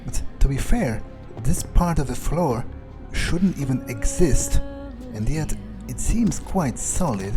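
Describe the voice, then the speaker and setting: A man playing a video game in character, musing aloud in an understated, deadpan and slightly hesitant way. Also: mid-range voice, slow, hardly any pauses, music in the background. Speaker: gamer playing a vampire in character.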